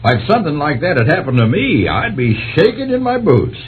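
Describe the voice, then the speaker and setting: A man playing a jovial, folksy American radio host with a husky voice, in radio drama style. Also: a little high, wildly swooping pitch, fairly quick, slight background noise. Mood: chummy. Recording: thin phone-like radio sound.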